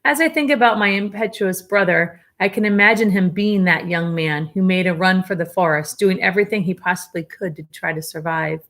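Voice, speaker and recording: A woman teacher reading a memoir aloud to her students, at a steady pace with small slips she corrects, and now and then a casual aside to the class. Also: fairly low pitch, a little fast, hardly any pauses.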